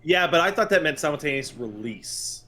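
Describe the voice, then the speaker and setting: A man's voice: on the high side, expressive, normal speed, bright, forceful tone. A man co-hosting a gaming podcast, chatting casually and riffing by acting out imagined reactions. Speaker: podcast co-host.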